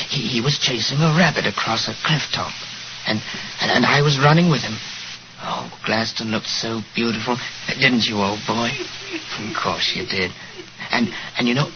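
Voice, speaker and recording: An actor playing a sleepy, gentle, simple-minded man with childlike wonder and a hesitant stammer, in 1940s radio style. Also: medium pitch, lightly acted. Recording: muffled, hissy old radio recording.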